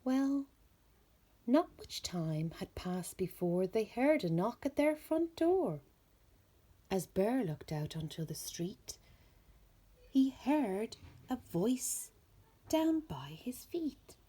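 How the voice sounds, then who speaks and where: A woman with a husky, breathy voice reading a rhyming children's story aloud in slow, deliberate phrases. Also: fairly high pitch, very animated, mellow. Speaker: storybook reader.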